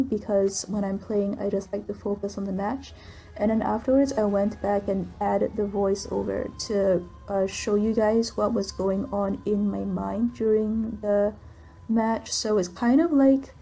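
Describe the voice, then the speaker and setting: A soft-voiced young woman talks through viewer questions at her computer, easygoing and unhurried. Her tone is mellow and a little breathy. She is recorded on the built-in microphone of her computer. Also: mid-range voice, low-quality recording. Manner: soft-spoken, relaxed, with frequent ums and likes. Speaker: gaming channel creator.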